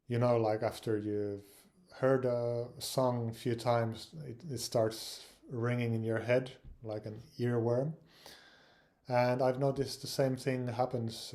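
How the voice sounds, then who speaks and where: A man from Finland giving casual advice on language learning, speaking English with frequent pauses, fillers and repeated words. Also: medium pitch, creaky, dark tone. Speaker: language learning channel host.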